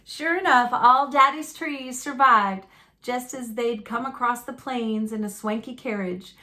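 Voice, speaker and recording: Female storyteller for a picture book read-aloud. Bright, forward tone, unhurried, folksy and expressive. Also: fairly high pitch, slight background noise. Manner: folksy, dramatic, deliberate delivery.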